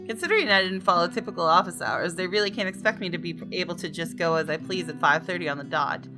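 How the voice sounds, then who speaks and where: A female game streamer with a slightly low voice reads visual novel text aloud. Her delivery is dramatic and playful. Guitar music plays clearly behind her. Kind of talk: let's play, reading game text aloud with exclamations.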